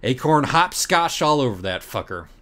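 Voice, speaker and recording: A man's voice: medium pitch, very animated, normal speed, bright, forceful tone. A man reading scripted comic narration as an audiobook reader, in a mock-dramatic, scornful, profane manner.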